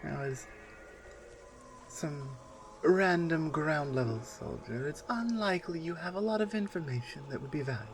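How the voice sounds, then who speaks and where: A man playing a menacing, taunting non-human warrior captor in an ASMR roleplay, speaking deliberately and with command. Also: on the high side, animated, slight background noise. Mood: smug, menacing. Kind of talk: ASMR roleplay audio drama.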